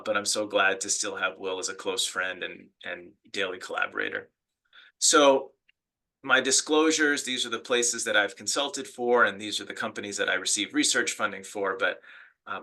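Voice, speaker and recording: A man's voice: fairly low pitch, pushed and bright. A middle-aged man with an American accent giving an online lecture, telling stories earnestly with fillers and restarts. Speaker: academic speaker.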